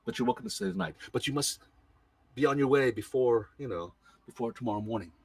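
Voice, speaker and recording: A man's voice: mid-range voice, fast, slightly rough, no echo, far from the mic. Man putting on a fluttery farmer's wife. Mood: kindly fussing. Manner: fluttery, repeats herself, rising to shrieks. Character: fussy, kindly farmer's wife, middle-aged woman. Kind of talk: oral storytelling.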